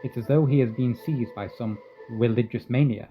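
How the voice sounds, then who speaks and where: A man's voice: medium pitch, lightly acted. Man acting a grave Victorian doctor, muffled recording. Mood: grave, troubled. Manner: measured, formal. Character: earnest Victorian asylum doctor, grave and clinical. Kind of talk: radio drama acting and narration. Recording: dull lo-fi audio, faint noise.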